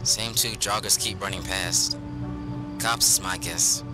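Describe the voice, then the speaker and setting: An actor playing a terse sidekick on lookout in an audio drama, clipped and matter-of-fact. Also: male voice, low voice, fairly even intonation, a little slow. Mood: watchful. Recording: loud music behind the voice.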